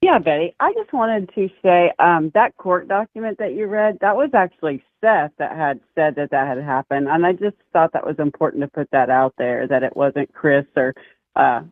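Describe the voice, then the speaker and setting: A man speaks as a phone-in caller with a notably high voice. The line is narrow and phone-like, with a very dry sound. He talks casually but insistently, keen to correct. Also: expressive, moderate pace, no echo. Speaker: caller to a talk show.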